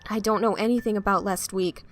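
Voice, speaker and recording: A woman voicing a gentle, grieving man who speaks softly and pleads. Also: mid-range voice, steady tone, brisk, close to an everyday voice. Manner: soft, pleading.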